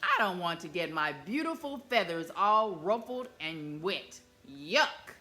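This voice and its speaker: A woman's voice: fairly low pitch, big pitch swings, measured pace, sharp tone, soft background music, full character voice. A woman putting on a vain fussy duckling boy. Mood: grumpy. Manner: prissy, squeals in alarm.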